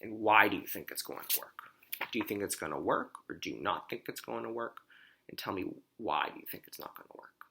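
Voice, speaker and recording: A man reading a children's storybook aloud like a teacher, expressively, pausing to explain and ask questions. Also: on the low side, expressive, vocal fry, sharp tone, slight background noise.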